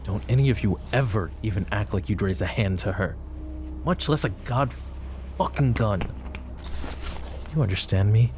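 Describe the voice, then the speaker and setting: A man's voice: lowish voice, moderate pace, pauses often, noisy background, natural voice. A man voicing a suave, possessive mafia boss who flirts with the listener in a polished, courteous way that carries an undertone of menace. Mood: threatening. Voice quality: smooth, courteous, teasing menace. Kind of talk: roleplay audio drama, addressing the listener.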